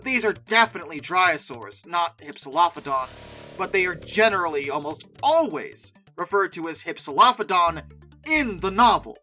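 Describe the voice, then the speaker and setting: Lively, bright-voiced video essay host. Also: medium pitch, normal speed, soft background music. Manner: opinionated, emphatic asides. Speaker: host of a video ranking show. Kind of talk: conversational video essay commentary.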